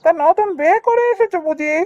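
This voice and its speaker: A woman's voice: very high voice, fast, a little mellow, dry recording. A woman voicing a chatty, inquisitive male neighbour in a Bengali cartoon, with emphatic delivery. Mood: curious. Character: nosy, chatty male neighbour, an eager hungry customer. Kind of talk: Bengali cartoon story dialogue. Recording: dull cartoon audio with music.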